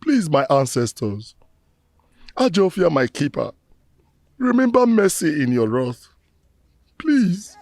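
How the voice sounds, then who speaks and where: Sorry nigerian village rogue, gravelly, big swings in pitch, begging like a speech. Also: male voice, big pitch swings, takes it slowly, long pauses, darkish tone, heavily acted. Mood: anguished, pleading. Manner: oratorical, lamenting, formal. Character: remorseful middle-aged village rogue pleading with his ancestors. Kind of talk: theatrical screen drama monologue.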